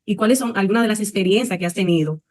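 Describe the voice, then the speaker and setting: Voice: medium pitch, fast, a little mellow, dry recording, studio quality. A panel moderator asking formal, courteous questions.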